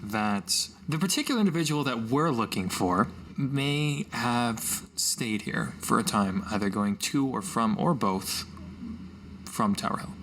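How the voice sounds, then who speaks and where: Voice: medium pitch, lively intonation, unhurried, fairly bright tone, low-quality recording. A player in a tabletop roleplaying game, improvising in-character talk in a casual way. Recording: noticeable background noise.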